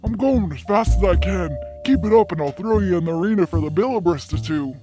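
An actor playing a dim, grumbling ogre bickering in a fantasy audio drama. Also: male voice, high voice, expressive, brisk, warm tone, faint music behind. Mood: irritated. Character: dim, grumbling male ogre hurrying along.

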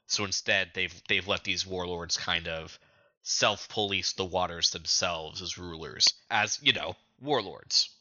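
A man co-hosting a podcast, recapping a story in casual, informal chat with an animated way of telling it. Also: deep voice, unhurried, dry recording, muffled recording. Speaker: podcast co-host.

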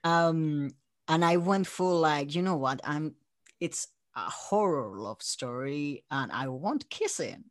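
A man's voice: on the high side, lively intonation, slow, no room sound, clean studio sound. A man from Spain with a Spanish accent, chatting casually as an interview guest and acting out his own thoughts as he goes. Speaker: interview guest, audio drama creator.

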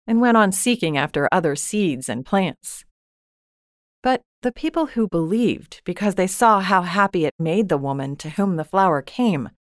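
A woman with a slightly low voice reads a gentle children's fable. She tells it in a calm, measured way, close to the mic in a dry, dead room. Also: no echo. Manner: calm, measured storytelling with soft quoted asides. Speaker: storybook reader. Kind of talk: gentle scripted story narration.

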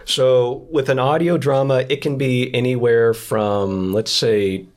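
A man's voice: medium pitch, fairly even intonation, takes it slowly, darkish tone. A man with a mid-range voice speaks as a podcast guest. He explains things in a casual, conversational way and sounds enthusiastic about what he makes. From expat living in South Korea. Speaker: podcast guest, screenwriter and audio drama creator.

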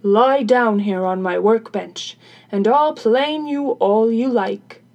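A woman voicing a blunt, booming, exclamatory male carpenter in a folktale. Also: slow pace, a little mellow, lo-fi sound. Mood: brisk, scolding. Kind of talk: folktale character voice in storytelling.

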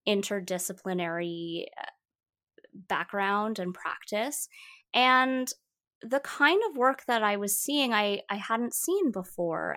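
Theatre-artist interview guest: a woman whose mother tongue is Mandarin, reflective and unhurried, restarting phrases as she thinks. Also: medium pitch, a bit bright. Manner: thoughtful, with frequent fillers and repeated words. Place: Vancouver, Canada.

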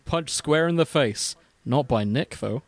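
Young guy, dry deadpan delivery, reading fic out loud on a podcast, dead dry mic. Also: medium pitch, measured pace, no echo. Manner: wry, deadpan, dips into mocking quotes. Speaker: podcast co-host reading fan fiction. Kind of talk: casual chat and comic dramatic reading.

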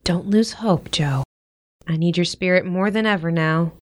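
A woman acting in a modern audio drama as a tender, reassuring middle-aged mother, speaking gently and earnestly. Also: on the low side, moderate pace, close to an everyday voice. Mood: wistful, encouraging.

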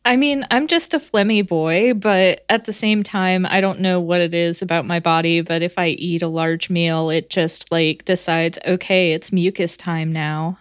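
A podcast co-host with a dry, wry sense of humor, chatting casually. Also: female voice, on the low side, fairly even intonation, a little slow, few pauses, dry recording. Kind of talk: casual chat.

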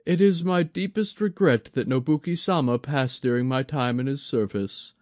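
A man voicing an older male wanderer, sly, guarded and measured in his politeness. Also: mid-range voice, a little mellow, no room sound, pitched above the performer's own voice, close to an everyday voice.

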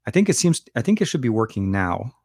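Halting, chatty man on a livestream. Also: mid-range voice, sing-song, a little mellow, husky, no room sound. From Lebanon. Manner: rambling, halting, with frequent restarts and fillers. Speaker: livestream host. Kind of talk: casual livestream chat.

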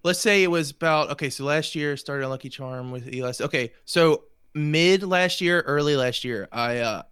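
A man's voice: on the high side, moderate pace, a bit bright, clear, resonant tone, dry recording, studio quality. A man hosting an interview podcast, chatty and rambling with frequent restarts. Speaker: podcast host.

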